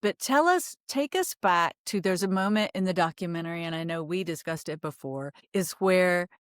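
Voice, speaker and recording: A woman's voice: lowish voice, expressive, moderate pace, clear, resonant tone, dry recording, clean studio sound. A middle-aged woman hosting a podcast in a conversational interview style. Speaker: podcast host.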